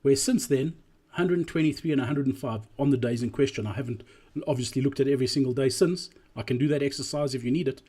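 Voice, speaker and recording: A man giving an explanatory business presentation as a voice-over, speaking deliberately and emphatically and repeating key phrases, with a slightly husky voice. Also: mid-range voice, dark tone. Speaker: presenter analysing drive-through performance.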